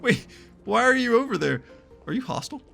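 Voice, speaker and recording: A man giving casual, excitable, sweary reaction commentary while playing a game. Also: very high-pitched, brisk, creaky, soft background music.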